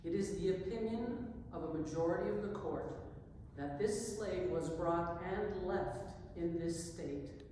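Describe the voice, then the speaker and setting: A woman performs an earnest, solemn speaker in a historical poem. Her voice is low and formal, with very deliberate slow phrasing. It carries from afar through a noisy, reverberant hall. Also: mellow, close to an everyday voice. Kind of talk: dramatic poetry reading. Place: echoing indoor hall. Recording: echoey hall, distant mic, clear noise.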